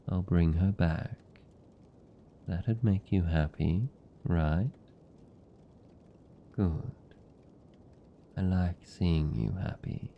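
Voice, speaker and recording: A man voicing a lonely, tender and possessive vampire count in a British accent, speaking softly and soothingly in an intimate ASMR roleplay. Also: low voice, gravelly, highs cut off, slight background noise, heavily acted. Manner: soft, slow, soothing, lingering pauses.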